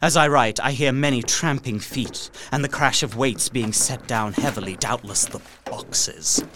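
A man's voice: medium pitch, background noise, natural voice. Theatrical, desperate young captive, acted by a man. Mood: hushed dread. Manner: theatrical, intense, deliberate archaic diction. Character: young Englishman, terrified desperate captive writing his journal. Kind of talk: dramatic gothic radio play monologue. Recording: music under the voice.

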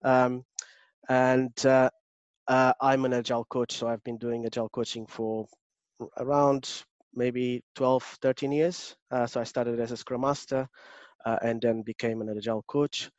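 A man's voice: medium pitch, flat delivery, unhurried, no echo, highs cut off. A man from Portugal with a strong Portuguese accent, presenting a remote workshop as an agile coach, polite and hesitant with many fillers. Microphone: computer mic on video call.

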